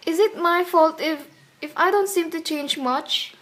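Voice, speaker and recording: An actress playing a gentle, youthful-looking married woman in a radio play, playful yet anxious. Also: extremely high pitch, a little slow. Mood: hurt, pleading.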